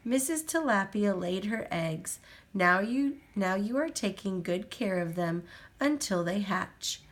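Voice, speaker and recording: A woman reads a children's storybook aloud at a slow, unhurried pace. Her voice rises and falls a lot, sing-song and gentle, as she stops to point things out to a little listener at home. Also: mid-range voice. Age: adult. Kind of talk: children's picture book read-aloud.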